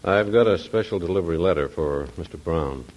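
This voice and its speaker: An actor as a businesslike delivery man in a 1940s radio play. His voice is extremely low, and the old recording carries faint noise. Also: fairly quick, darkish tone, lightly acted, poor audio. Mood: brisk. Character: brisk, businesslike delivery messenger. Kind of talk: 1940s radio drama acting.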